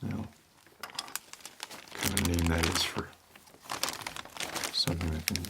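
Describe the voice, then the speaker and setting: A man performing an ASMR roleplay as a world-weary homicide detective, rambling hesitantly in a deadpan manner. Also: deep voice, measured pace, very noisy. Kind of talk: slow ASMR roleplay monologue.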